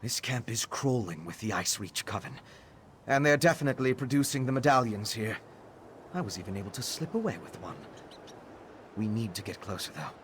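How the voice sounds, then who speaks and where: A man's voice: medium pitch, a bit bright, slight background noise. A man playing an earnest, inquisitive scholarly ally in a fantasy video game, laying out quest details.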